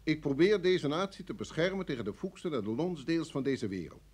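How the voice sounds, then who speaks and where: An actor playing a senior security chief in an old radio drama, brusque and formal, with a husky voice and an authoritative manner. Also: male voice, fairly high pitch, expressive, darkish tone. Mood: firm, persuasive. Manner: authoritative, formal, measured phrasing. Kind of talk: vintage Dutch radio drama acting.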